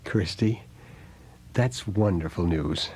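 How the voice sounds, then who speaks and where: A man's voice: low-pitched, slow, darkish tone, breathy edge, heavily acted. A man playing a kindly, genial divorced father in an audio drama, fatherly and encouraging in manner. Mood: warm, pleased.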